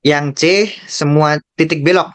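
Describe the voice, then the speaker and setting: A man with an Indonesian accent tutoring calculus, working slowly through a graph problem, repeating key terms and thinking aloud. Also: a little high, steady tone, clear, resonant tone. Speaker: math tutor.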